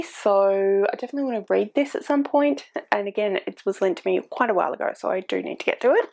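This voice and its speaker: A woman in her forties talks casually at home, rambling a little and correcting herself as she goes. Her voice sits at a middle pitch with a gravelly, fry-laced texture, recorded on a desk microphone. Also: darkish tone. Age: 40s. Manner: chatty, rambling, with frequent ums and self-corrections. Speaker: book YouTuber. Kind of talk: casual booktube chat.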